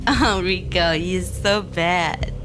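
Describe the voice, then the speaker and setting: Very slow, sultry moll. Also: female voice, lowish voice, music in the background. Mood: flirty, admiring. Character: sultry, flirtatious female gangster's moll.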